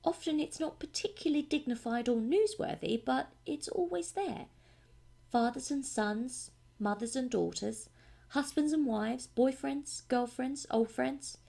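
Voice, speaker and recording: Woman reading a story aloud, measured but expressive, husky with some fry. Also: high voice. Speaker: voice actor narrating demo reel. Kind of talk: scripted audiobook and monologue narration.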